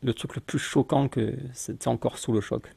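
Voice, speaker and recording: Gravelly, dark-voiced man, mocking. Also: mid-range voice, fairly even intonation, moderate pace. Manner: dismissive, mocking, talks over the clip. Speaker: commentator reacting to a video. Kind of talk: casual reaction commentary.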